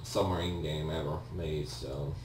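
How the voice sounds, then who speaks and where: A man's voice: a bass voice, fairly even intonation, measured pace, a little mellow. A man giving casual video game commentary in a hesitant, rambling way, often correcting himself. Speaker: let's player, video game host. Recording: roomy, distant mic with faint hum.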